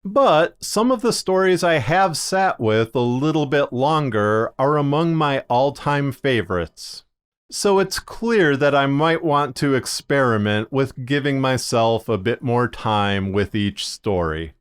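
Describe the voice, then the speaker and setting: A man narrating a scripted video as a podcaster, speaking in measured, deliberate phrases with short pauses. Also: medium pitch, lively intonation, clear, resonant tone. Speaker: podcaster and fiction narrator presenting a video series.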